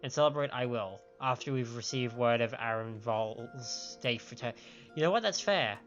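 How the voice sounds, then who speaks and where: A man streams a game over clear background music, his sound a little dull and lo-fi. He reads the dialogue aloud, tripping over words as he goes, and adds his own reactions. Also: mid-range voice, normal speed, fairly bright tone. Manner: stumbles over words when reading aloud.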